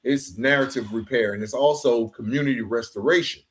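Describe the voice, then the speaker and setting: A man hosts a casual podcast conversation in a medium-pitched voice. His talk is informal and earnest, sprinkled with "man" and "bro" as he speaks to his guest and listeners. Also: brisk, a bit bright. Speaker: podcast host.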